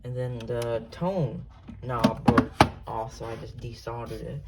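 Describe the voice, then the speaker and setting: Guy showing off his stuff, gravelly voice, rambly and halting, noisy room w/ clicks. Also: medium pitch, fairly even intonation. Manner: halting, rambling, self-correcting. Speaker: radio collector showing a collection. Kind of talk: casual hands-on show-and-tell.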